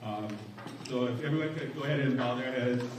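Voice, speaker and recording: Booming-voiced man from a county agency, casual and apologetic host of a public meeting; distant, echoey hall sound with room noise. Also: fairly quick. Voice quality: loud booming voice. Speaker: county housing agency staffer. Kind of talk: opening a community meeting with a prayer. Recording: echoey hall, far from mic, crowd noise.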